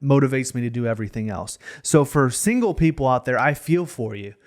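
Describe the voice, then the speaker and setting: A man's voice: mid-range voice, expressive, fairly quick, a little mellow. A man in his thirties co-hosting a podcast, speaking in a passionate, motivational way. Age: 30s. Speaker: podcast co-host. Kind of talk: casual podcast discussion.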